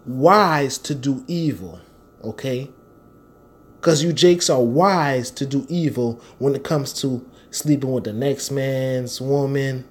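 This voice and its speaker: A man's voice: mid-range voice, a little mellow. An American man delivering a fervent, informal sermon in a confrontational, slangy manner, repeating phrases for stress. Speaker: street-style preacher and Bible teacher.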